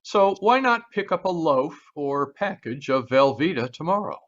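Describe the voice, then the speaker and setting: A man's voice: fairly high pitch, sing-song, normal speed, fairly bright tone. A man reading a vintage-style radio commercial as an announcer, with friendly salesman patter, on muffled call audio. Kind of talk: 1940s radio advertisement read. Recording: dull lo-fi video call audio.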